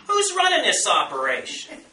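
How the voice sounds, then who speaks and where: A quarrelsome, yappy sled dog from a storybook, put on by a man, insists it is in charge. The voice jumps high and sounds sharp and forceful, echoing at a distance from the mic. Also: huge pitch swings, normal speed, exaggerated voice. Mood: bossy squabbling. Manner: bickering, insistent, exclaiming. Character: yappy, quarrelsome sled dogs, each claiming command. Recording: roomy, far from mic, faint noise.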